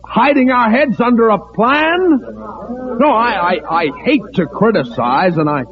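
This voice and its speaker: An actor playing a folksy, pushy newcomer who speaks haltingly and insistently in an old radio drama. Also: male voice, very high-pitched, animated. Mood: agitated, insistent. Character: folksy, pushy newcomer man stirring up alarm at meeting. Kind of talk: 1940s-50s radio drama acting. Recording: tinny, band-limited old radio recording.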